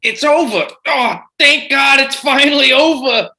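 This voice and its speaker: A breezy, flippant showbiz man, played by a male reader in a screenplay table read. He is overfamiliar and joking, with a bright, sharp-edged voice. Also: very high-pitched, a little slow, full character voice. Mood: relieved.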